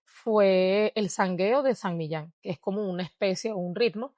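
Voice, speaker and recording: A woman's voice: medium pitch, normal speed, a little mellow, no room sound, studio quality. A Venezuelan woman with a Venezuelan Spanish accent, answering interview questions in a reflective way and laughing often. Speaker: guest, dancer and researcher. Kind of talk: interview conversation.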